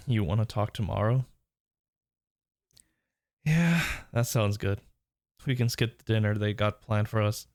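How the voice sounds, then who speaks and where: A man's voice: lowish voice, darkish tone, no room sound, studio quality. A man playing a smitten, teasing workplace rival turned lover in an intimate romantic audio roleplay, murmuring tenderly and breathily. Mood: tentative, warm.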